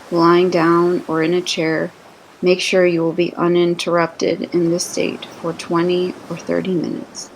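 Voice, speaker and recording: A woman narrating a scripted guided meditation in a soothing, deliberate manner with gentle pauses between phrases. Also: fairly low pitch, a little hiss or hum, low-quality recording.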